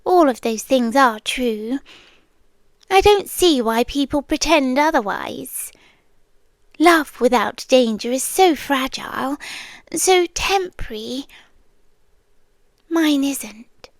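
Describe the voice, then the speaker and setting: Woman acting as yandere sea monster girlfriend, British, high female voice, extremely slow, breathy half-whisper, sweetly threatening, close ASMR roleplay. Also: expressive, no room sound, exaggerated voice. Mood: veiled menace. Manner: soft, breathy half-whisper, drawn-out pauses. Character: possessive yandere female sea creature, sweetly menacing captor. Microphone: close ASMR microphone.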